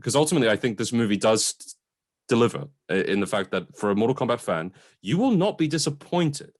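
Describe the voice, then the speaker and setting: A man's voice: medium pitch, very animated, a little fast. A man co-hosting a casual, conversational film review, informal and sometimes sweary as he qualifies his opinions.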